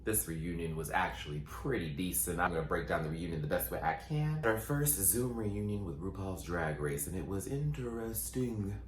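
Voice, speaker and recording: A man chatting sassily through a casual recap, his voice still low and groggy from just waking up. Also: animated, reverberant, a little hiss or hum. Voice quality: voice sitting lower than his normal. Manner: sassy, playful, quick asides. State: just woke up, morning voice. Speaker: vlogger and show recapper.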